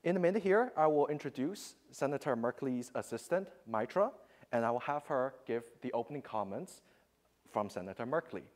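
A man's voice: mid-range voice, lively intonation, a little fast, a little mellow. A young man welcoming an audience as the host of an event, speaking in a lecture room. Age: young adult. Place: lecture room, standing at the front.

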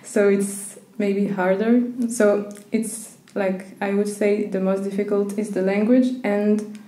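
A woman co-hosting a podcast, speaking slowly in an even, level voice. Her tone is mellow and she often restarts her sentences. The sound carries a roomy echo. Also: mid-range voice. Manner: slow, flat, hesitant. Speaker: podcast co-host. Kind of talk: casual conversation.

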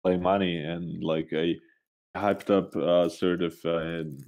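A man from Switzerland talks in a very low, soft-edged voice. He is co-hosting a casual podcast and speaks off the cuff, often halting to find his words. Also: level tone. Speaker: podcast co-host. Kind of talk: casual, unscripted political chat.

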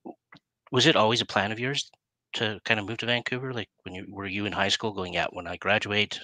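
A middle-aged Canadian man hosts a casual interview. His voice is fairly low but clearly bright and forward. It is recorded dry on a headset, with little room sound. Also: steady tone, fairly quick, no room sound, poor audio. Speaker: interview host. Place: small room indoors, video call.

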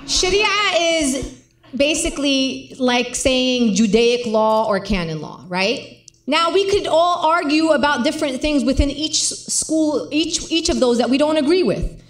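A woman's voice: high voice, lively intonation, unhurried. A woman speaking on stage with a handheld mic in a lecture hall, impassioned and emphatic. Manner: impassioned, emphatic, gestures a lot. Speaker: guest speaker, organizer. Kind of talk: public conversation on stage. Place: large lecture hall with audience. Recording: roomy hall sound.

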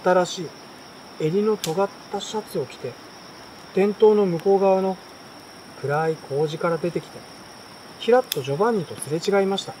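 A man reading a children's story aloud in a relaxed, unhurried way, pausing between short phrases. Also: sits high, rough recording. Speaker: reader. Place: outdoors at night by a campfire at a campsite. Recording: clear insect and outdoor night noise. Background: insects, stream, campfire.

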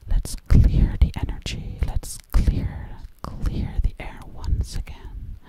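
A woman's voice: takes it slowly, natural voice. A woman playing a sly, boastful witch in an ASMR roleplay, speaking breathily and close up, repeating words hypnotically. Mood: hypnotic, soothing. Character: sly, boastful female witch who takes people as coats. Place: small indoor room at a table. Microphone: close to the mic.

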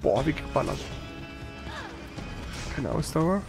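A man with a notably high voice and a clear layer of vocal fry comments casually on a video game. His wording is colloquial and slangy, with mild swearing. Music plays behind him. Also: huge pitch swings, takes it slowly. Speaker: let's play streamer. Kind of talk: casual gameplay commentary and chat.

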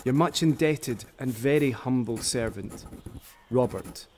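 A man's voice: medium pitch, unhurried, a little mellow, slight background noise, lightly acted. An actor playing a proud, ardent young Scottish poet of the 1700s, reading his letters formally and poetically in a radio drama. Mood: ardent, reverent.

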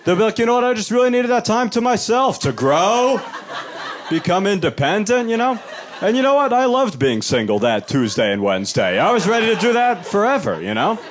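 A young man performing a stand-up comedy set on a club stage, wry and animated, with laughter from the audience. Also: fairly quick, slight background noise. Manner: wry, animated, jumps into high-pitched voices for quoted people.

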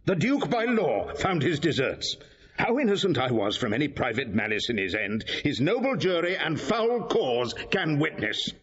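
An actor playing a proud, scheming cardinal facing ruin, with declamatory, measured classical stage delivery in an audio drama. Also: male voice, a little high, big pitch swings, fairly bright tone, breathy edge, highs cut off, full character voice. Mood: defiant, scornful.

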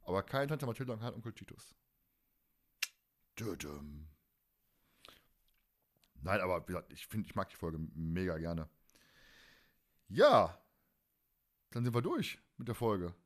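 A man's voice: deep voice, very animated, measured pace, pauses often. A man hosting a casual fan podcast, colloquial and teasing, with plenty of opinions. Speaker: podcast host.

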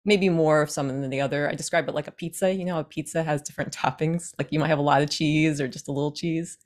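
Low-voiced woman coach explaining calmly. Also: quick pace. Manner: warm, explanatory, uses everyday comparisons. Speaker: attachment coach, guest. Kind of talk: explanatory interview answers. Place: living room at home. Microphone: webcam on a video call.

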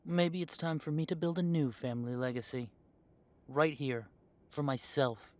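A man's voice: fairly high pitch, normal speed, a little mellow, lightly acted. A man playing a young adult werewolf in an audio drama, an estranged heir who talks with sarcasm and indignation. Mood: sarcastic. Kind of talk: audio roleplay drama acting.

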